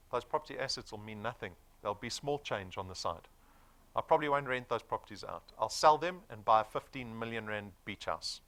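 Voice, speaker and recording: A South African man around forty delivers a motivational sales presentation. His pitch swings widely and his tone is bright and punchy. He is emphatic and persuasive, often checking in with rhetorical questions. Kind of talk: motivational business presentation to an audience.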